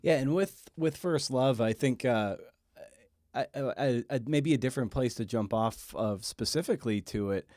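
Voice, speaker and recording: A man hosting a podcast, chatting casually and circling haltingly toward his questions. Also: mid-range voice, a little mellow, no echo, clean studio sound. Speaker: podcast host, interviewer. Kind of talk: casual conversational interviewing.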